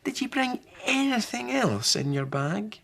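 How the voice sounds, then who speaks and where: A man's voice: sits high, very animated, unhurried, gravelly, a bit bright, voice pushed up, full character voice. A man voicing a polite, eager, curious young child in a bedtime story.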